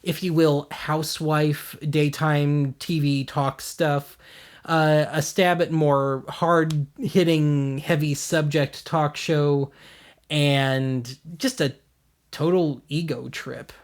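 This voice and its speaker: A man's voice: a little high, fairly even intonation, slow pace. An American man hosting a video series, giving casual commentary in a wry, blunt, self-deprecating way. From United States.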